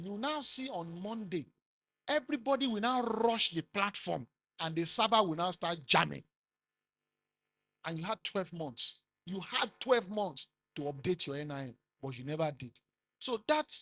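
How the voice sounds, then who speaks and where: A middle-aged man with a Nigerian accent giving a business seminar talk, rising loudly for emphasis and quizzing the audience. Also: high-pitched. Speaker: conference speaker.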